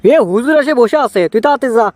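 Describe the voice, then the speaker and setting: Man acting cartoon village father, Bogura accent, high pitch, bossy, coaxing, dry recording. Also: fast, a little mellow, no room sound. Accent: Bogura, northern Bangladesh. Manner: bossy, scolding, then coaxing. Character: scolding but soothing village father of a grown son. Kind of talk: comic cartoon dialogue.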